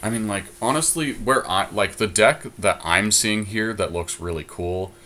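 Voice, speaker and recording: A man's voice: fairly low pitch, moderate pace, bright, forceful tone, a little hiss or hum. A man co-hosting a podcast, talking through card picks in casual chat, thinking aloud with many fillers. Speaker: podcast co-host.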